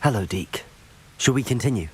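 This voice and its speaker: An actor playing a young student wizard in a video game, polite, keen and composed, with an inquisitive manner. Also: male voice, mid-range voice, expressive, moderate pace, darkish tone, slight background noise, lightly acted. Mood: polite, curious. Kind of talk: video game character dialogue.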